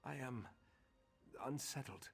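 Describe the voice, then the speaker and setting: An actor playing a composed, commanding elder master of a mystic order, speaking in a formal, measured way in a fantasy video game. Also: male voice, medium pitch, vocal fry, breathy edge, heavily acted. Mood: uneasy. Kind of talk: video game dialogue acting.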